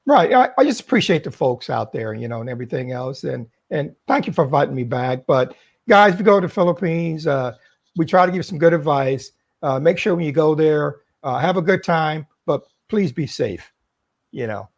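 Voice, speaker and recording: A man's voice: fairly high pitch, animated, normal speed, gravelly. Hesitant, earnest man panelist. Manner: hesitant, many "uh"s, earnest advice. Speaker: regular panelist. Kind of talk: casual chat.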